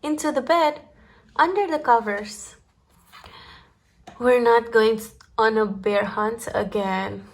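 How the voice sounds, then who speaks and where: Female children's storyteller, higher register, very slow and deliberate pacing, expressive sing-song chant delivery for picture-book read-aloud.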